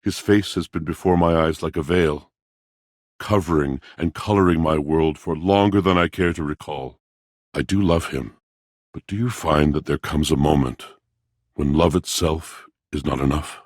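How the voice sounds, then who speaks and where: A very deep, gloomy old washing machine. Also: male voice, no room sound, clean studio sound. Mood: mournful, resolute. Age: older. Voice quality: deep, gravelly. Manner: theatrical, solemn, flowery, drawn-out delivery. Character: gloomy, aging, lovelorn washing machine, grandly melodramatic. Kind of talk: video game character acting.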